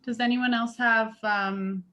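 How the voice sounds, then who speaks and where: A woman calmly inviting final questions on a video call. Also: on the high side, slow pace, highs cut off. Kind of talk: unscripted remarks on a video call.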